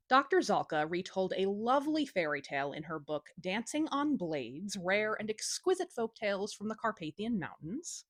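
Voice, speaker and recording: A woman tells a folktale with very lively, swooping pitch and an informal, cheeky delivery. Her voice is recorded close and dry, with little room around it. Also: dry recording. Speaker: storyteller, host. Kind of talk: casual scripted folktale retelling.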